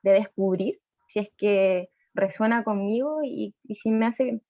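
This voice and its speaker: A woman introduces herself hesitantly, restarting words as she goes. The call audio is dull and muffled, with no room sound at all. Her pitch sits in the middle. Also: measured pace, no echo. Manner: hesitant, soft-spoken, with restarts. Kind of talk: casual group introduction on a video call.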